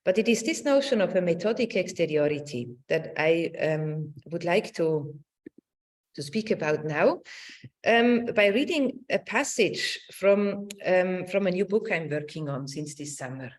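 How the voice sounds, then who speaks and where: A woman's voice: lowish voice, lively intonation. Woman lecturer with widely swinging intonation. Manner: halting, searching delivery with repeated words and tag "no?". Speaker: academic lecturer. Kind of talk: academic lecture, partly reading from notes.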